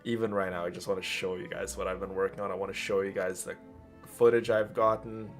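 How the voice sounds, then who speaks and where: A man's voice: deep voice, little pitch movement, a little fast, soft background music. A man hosting a livestream, chatting casually and addressing viewers with doting affection. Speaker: livestream host. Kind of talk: casual chat while streaming a game.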